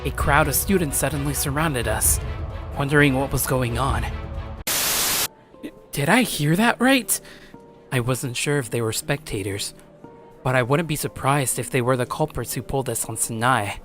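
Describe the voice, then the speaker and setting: A man's voice: mid-range voice, expressive, normal speed, pauses often, music in the background, natural voice. Man acting an earnest, anxious schoolboy narrator. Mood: suspicious. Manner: conversational, introspective. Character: earnest, anxious teenage schoolboy narrating his troubled friendships. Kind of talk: anime-style audio story, first-person scripted narration.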